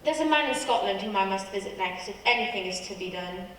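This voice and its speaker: A woman's voice: mid-range voice, lively intonation, fast, a bit bright, natural voice. A woman playing a secretive, frightened foreign secret agent in a radio play. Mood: uneasy, polite. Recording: very echoey hall, distant mic.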